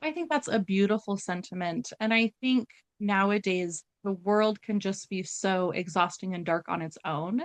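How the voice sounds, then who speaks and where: A woman's voice: mid-range voice, moderate pace, hardly any pauses, dry recording. Enthusiastic woman host, dry close sound. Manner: enthusiastic, effusive praise. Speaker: podcast host. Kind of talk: casual interview hosting.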